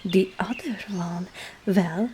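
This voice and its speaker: A woman playing a sly, coaxing witch herbalist in an ASMR roleplay, speaking softly and often slipping into a whisper. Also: mid-range voice, slow, a little hiss or hum, lightly acted.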